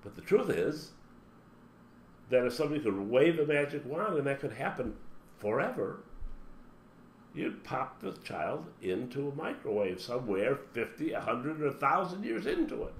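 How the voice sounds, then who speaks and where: A man's voice: mid-range voice, moderate pace, echoey room. Man, spiritual teacher, motivational talk, emphatic lists, faint background hum. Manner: emphatic, lively delivery with long spoken lists.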